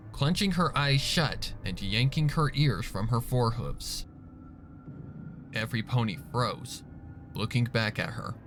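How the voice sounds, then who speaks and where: Male storyteller for dark fan fiction: unhurried, medium pitch, scripted dramatic narration over music. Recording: music bed under the narration.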